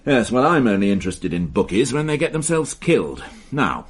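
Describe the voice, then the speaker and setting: A man's voice: medium pitch, very animated, brisk, husky. An actor playing a dry, ironic male police inspector in a radio drama, speaking in a sardonic way.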